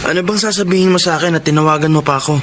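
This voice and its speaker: A man's voice: fairly high pitch, racing, fairly bright tone, close to an everyday voice. An actor with a Filipino accent playing an evasive, callous young man in a radio drama, with a noisy background. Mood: defensive.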